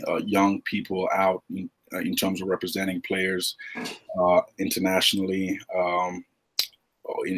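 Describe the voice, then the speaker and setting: A middle-aged man from Uganda, a retired basketball player, answering podcast questions in a relaxed, halting way with many fillers. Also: deep voice, poor audio. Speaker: guest, retired pro basketball player and agent. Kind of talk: podcast interview.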